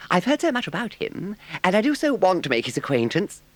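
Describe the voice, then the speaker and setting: Little mole, very high voice, timid, polite, eager, earnest storybook animal. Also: male voice, wildly swooping pitch, brisk, no echo. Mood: shy, eager. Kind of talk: audiobook character voice.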